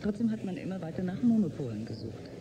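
An eager scientist in a radio play, explaining things like a teacher. The voice sits a little high and swings animatedly, with clear ambient noise around it. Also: male voice, sing-song, fast. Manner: didactic, explanatory. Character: eager male scientist patiently explaining a discovery. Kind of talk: German radio drama acting.

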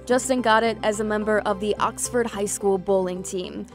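A young adult woman reporting a local TV news story, with a measured, earnest delivery. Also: mid-range voice, fairly even intonation, soft background music. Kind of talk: news report narration and interview.

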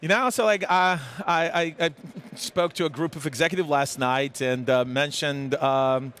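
A man in his forties from Serbia with a Serbian accent, speaking haltingly with many fillers as a guest in a live conference interview. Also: a little high, steady tone, fairly bright tone, clear, resonant tone. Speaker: tech executive guest. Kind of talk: live trade-show interview. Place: busy conference hall. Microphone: headset mic. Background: crowd chatter.

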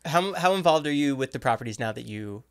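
A male podcast host who asks brief, curious questions in a relaxed conversational interview. His pitch is ordinary, and the sound is dry and close-miked. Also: fast, clean tone, no echo.

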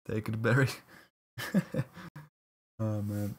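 Streamer: man from Latvia, husky voice, playful and jokey with his chat. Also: on the low side, steady tone, very slow. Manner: jokey, laughs often, mock-protesting at chat teasing. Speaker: livestream caster. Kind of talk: casual live-stream chat with viewers.

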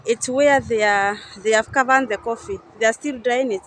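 Chatty woman, high voice. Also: normal speed, a bit bright, slight background noise. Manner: chatty, unsure, thinking aloud. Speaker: vlogger touring a coffee farm. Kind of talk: casual guided walk-through. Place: outdoors at a coffee farm and factory. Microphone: phone camera held by speaker.

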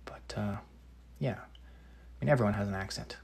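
Low, gravelly Canadian man chatting. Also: normal speed, in a whisper. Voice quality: careful, crisp articulation of some words. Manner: relaxed, thinking aloud with many ums and restarts. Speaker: painter answering live chat. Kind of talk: casual live-stream chat while painting.

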